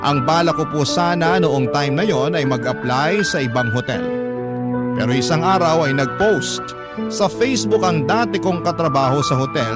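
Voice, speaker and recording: A man's voice: fairly high pitch, close to an everyday voice. A man playing a humble young Filipino telling his love story in polite, earnest first-person radio narration. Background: loud background music.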